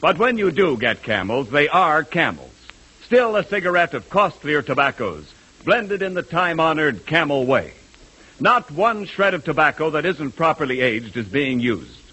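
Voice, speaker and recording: A man's voice: on the high side, very animated, normal speed, bright, forceful tone, slightly rough, poor audio. A man announcing in the style of a 1940s radio advertisement, emphatic and declamatory, on an old broadcast recording. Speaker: radio announcer, sponsor pitchman.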